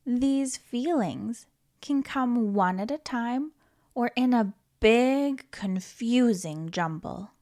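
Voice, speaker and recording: Woman doing storytime for little kids, high voice, takes it slow, playful and expressive. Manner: expressive, playful, with questions to the listener and dramatic pauses. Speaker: storytime host reading a children's book aloud. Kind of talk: animated children's read-aloud.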